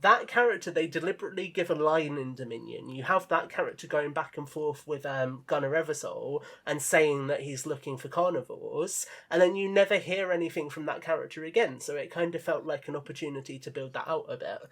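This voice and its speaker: A man's voice: fairly high pitch, brisk, few pauses, a bit bright. A man talking casually and in detail as a podcast guest, modest about himself and keen on his creative work. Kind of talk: casual enthusiastic podcast chat.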